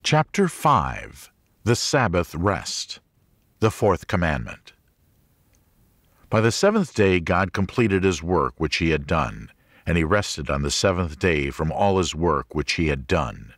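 Male narrator for religious audiobook: low register, measured and articulate reading, dry studio-like sound. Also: big pitch swings, no echo. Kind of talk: scripted audiobook narration of a religious teaching book.

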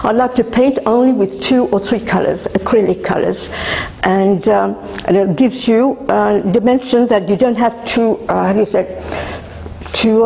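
An older woman speaks about her art at a gallery opening, halting and restarting often with plenty of fillers. Her voice is gravelly and mellow. Faint room noise hangs in the indoor gallery, picked up by a camera some way off. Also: mid-range voice, reverberant, poor audio. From Australia. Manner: halting, many fillers and restarts, gestures while talking. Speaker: artist. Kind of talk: casual talk at a gallery opening.

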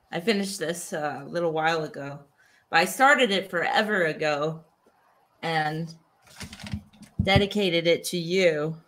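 A woman's voice: sits low, takes it slowly, long pauses, fairly bright tone. A woman in her thirties hosting a casual video chat about art, speaking into a desk microphone. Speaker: host and artist. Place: cluttered indoor studio. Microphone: desk microphone on a boom, video call.

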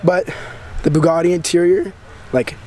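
A young man with a hoarse, raspy voice from a cold, excitedly rambling to a handheld camera as a vlogger, outdoors and in a shop. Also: high-pitched, normal speed, a little hiss or hum. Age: young adult. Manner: excited, rambling, lots of fillers. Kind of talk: casual vlog talk to camera. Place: outdoors by a house and inside an auto upholstery shop. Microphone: handheld camera at arm's length.

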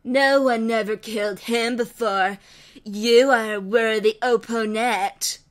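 Woman playing evil clone villainess, haughty, boastful, fairly high, storybook style. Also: fairly even intonation, slow.

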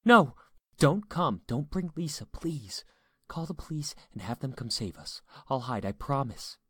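A man's voice: lowish voice, fast, darkish tone, dry recording, clean studio sound, lightly acted. A man voicing a frightened adult woman in an audiobook drama, pleading with shaky, panicked urgency.

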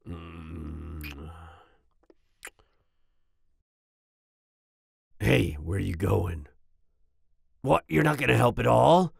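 A man playing a big, grumpy werewolf boyfriend with a growling, husky voice and a soft side, in an ASMR roleplay. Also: deep voice, no room sound, clean studio sound, full character voice. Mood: resigned, fond. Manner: grumbling, slow, with grunts and growls.

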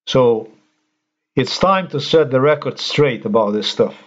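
Man, mid-pitched, angry halting rant, dull home-recorded sound. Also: animated. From Slovenia. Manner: indignant, halting, swears. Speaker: commentator. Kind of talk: ranting screen-recorded commentary.

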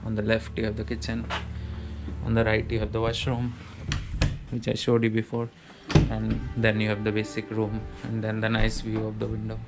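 A man's voice: fairly low pitch, monotone, normal speed, gravelly, over loud music. A man casually narrating a walkthrough of a small student apartment, listing its features in a plain, matter-of-fact way. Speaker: vlogger giving a house tour.

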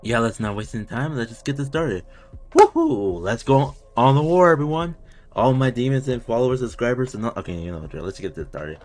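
A young man in his twenties hosts a reaction video at home, chatting excitably and swearing. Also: medium pitch, a little fast. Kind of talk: casual chat. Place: home room with curtains.

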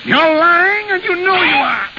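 An actor playing a furious, vengeful carnival barker in a 1930s radio drama, menacing and accusing. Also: male voice, extremely high pitch, animated, a little slow. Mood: enraged. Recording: hissy, muffled 1930s radio recording.

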